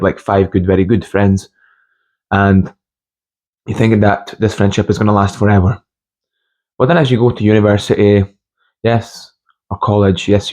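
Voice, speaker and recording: A young man from Scotland and Hungary giving an informal motivational monologue with personal stories, speaking haltingly and repeating phrases for emphasis. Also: lowish voice, dark tone. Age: young adult. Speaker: motivational video host.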